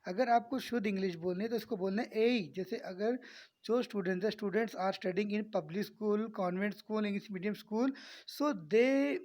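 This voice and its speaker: Slow-paced Indian woman teaching. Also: medium pitch, darkish tone. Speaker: English teacher. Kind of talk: instructional lesson, explaining step by step.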